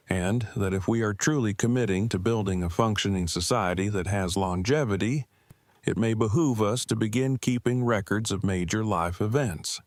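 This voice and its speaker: A man's voice: lowish voice, no echo, natural voice. A man playing a pedantic, brainy character in a fan audio drama, speaking in a formal, wordy, precise way.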